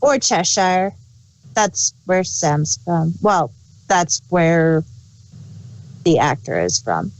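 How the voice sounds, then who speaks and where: A woman's voice: lowish voice, lively intonation, slow pace, pauses often. A woman co-hosting a casual chat, chipping in with brief, offhand remarks. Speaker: podcast co-host.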